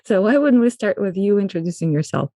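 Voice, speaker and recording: A woman's voice: medium pitch, lively intonation, a little fast, warm tone, dry recording, clean studio sound. A woman hosting an interview podcast, chatting in a friendly way with some fillers and easy laughs. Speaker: podcast host. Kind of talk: casual interview talk.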